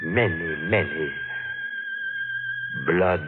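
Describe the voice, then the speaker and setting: An actor playing a deranged, menacing killer in a 1940s radio mystery, halting and melodramatic, repeating his words. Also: deep voice. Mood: brooding, mournful. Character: deranged male killer, confessing, brooding and menacing. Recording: muffled old radio broadcast with music.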